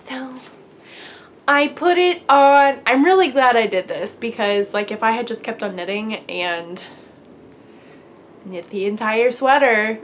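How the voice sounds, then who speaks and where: A woman's voice: fairly high pitch, slight background noise. A young woman host with a stuffy, allergy-congested voice, chatting casually and upbeat about her crafting week. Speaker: podcast host. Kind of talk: casual crafting chat to camera.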